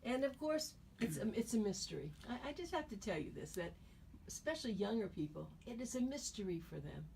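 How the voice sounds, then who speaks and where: A woman's voice: medium pitch, sing-song, brisk, vocal fry, darkish tone. A woman hosting a radio talk show, asking questions in a chatty, conversational way. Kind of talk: radio interview. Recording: radio broadcast from a distance, faint noise.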